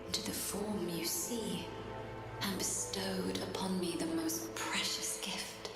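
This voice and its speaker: Slow, echoing ancient spirit guardian. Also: female voice, lowish voice, fairly even intonation. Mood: solemn, grateful. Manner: slow, archaic, stately phrasing. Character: solemn ancient female spirit guardian waking from slumber. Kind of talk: video game voice acting. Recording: cavernous reverb over music.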